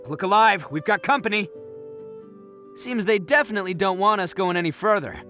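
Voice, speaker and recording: An actor playing a brash, boastful, good-hearted young gang boss in a video game. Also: male voice, high-pitched, fairly quick, pushed and bright, faint music behind. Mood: excited. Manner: cocky, exclamatory, swaggering.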